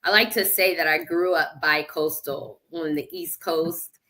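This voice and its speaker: Slow, bright-voiced woman guest. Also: low-pitched, fairly even intonation. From United States (Connecticut). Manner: slow, reflective, faith-centered telling. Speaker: podcast guest. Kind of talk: personal storytelling in conversation.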